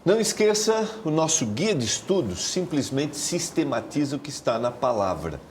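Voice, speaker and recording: A Brazilian man with a Brazilian Portuguese accent hosting a Bible study talk show, animated and full of tag questions. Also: moderate pace, faint music behind. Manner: animated pitch jumps, frequent tag questions. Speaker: TV Bible study host, pastor. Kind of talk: casual studio talk.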